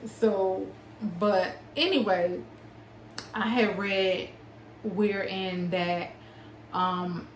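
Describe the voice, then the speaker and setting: A young adult woman talking to her viewers, stuttering with long pauses and blocks and smacking her lips between words. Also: mid-range voice, fairly even intonation, echoey room, slight background noise, rough recording. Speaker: small business owner and author. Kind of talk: personal talk to camera.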